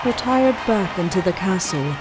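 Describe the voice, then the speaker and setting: A woman's voice: mid-range voice, lively intonation, moderate pace, crowd noise, rough recording. A woman reading scripted story narration as the narrator of a fan fiction. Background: music.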